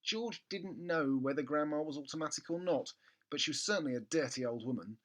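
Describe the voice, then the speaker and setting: A man narrating a children's book expressively, with theatrical emphasis and comic pauses. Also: fairly high pitch, expressive, a bit bright. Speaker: storybook reader.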